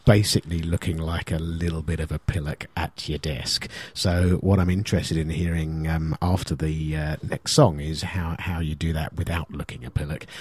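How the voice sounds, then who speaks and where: A man's voice: deep voice, darkish tone, breathy edge. A middle-aged British man co-hosting a casual radio chat, joking and self-deprecating. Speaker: radio show co-host. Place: radio studio.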